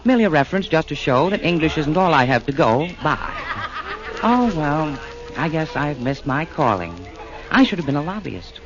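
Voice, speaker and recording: An actor playing a chummy, wisecracking announcer who narrates from the underworld in rhymes, in a 1940s radio comedy. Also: male voice, on the high side, very animated, normal speed. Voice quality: jokey, chummy, rhyming. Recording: muffled 1940s radio broadcast.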